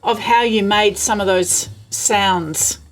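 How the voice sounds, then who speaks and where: A woman's voice: medium pitch, steady tone, slow pace, faint music behind, low-quality recording. A woman hosting a casual interview, speaking in an effusive, enthusiastic way. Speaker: interview host. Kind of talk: casual video call interview. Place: remote, not at the guest's location.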